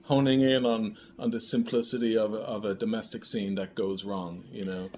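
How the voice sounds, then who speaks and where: A man's voice: on the low side, flat delivery, moderate pace, darkish tone. A man talking casually as an interview guest about his creative work, in a hesitant, rambling way. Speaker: guest, audio drama writer and director. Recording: noticeable background room noise.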